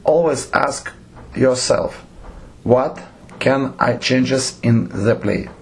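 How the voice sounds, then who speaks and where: A man with a Lithuanian accent and Lithuanian as his native language, giving a lecture on theatre directing. He reads out numbered points haltingly and keeps correcting himself. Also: medium pitch, gravelly, slight background noise. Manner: halting, frequent self-corrections and restarts.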